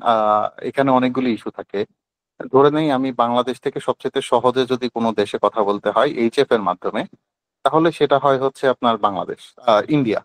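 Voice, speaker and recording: Male instructor, Bangladeshi accent, mid pitch, dry close sound, informal step-by-step explanations for an online class. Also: no room sound. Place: online group call.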